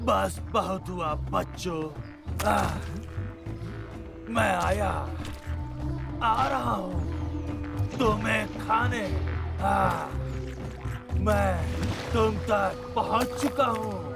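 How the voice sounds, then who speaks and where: Cartoon villain wolf: hungry, gloating, high gravelly voice, music bed. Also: male voice, heavily acted. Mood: gloating, menacing. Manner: gloating, drawn-out threats and growling cries. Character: menacing, hungry male wolf hunting cubs for fresh meat. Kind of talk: Hindi cartoon dub acting. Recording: music under the voice.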